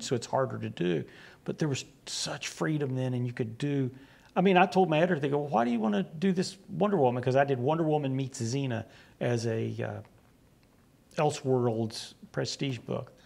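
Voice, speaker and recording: A man in his sixties from West Virginia with a West Virginian accent, telling rambling stories in a relaxed interview on a small studio set, acting out the exchanges he quotes. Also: mid-range voice, creaky. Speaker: guest, comic book writer. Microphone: lapel.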